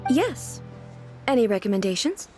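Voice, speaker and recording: A woman's voice: on the high side, sing-song, normal speed, music in the background. A woman playing a regal, literal-minded goddess ruler in a video game, speaking formally with an earnest curiosity. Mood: calm, reassuring. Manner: formal, composed, articulate, earnestly inquisitive.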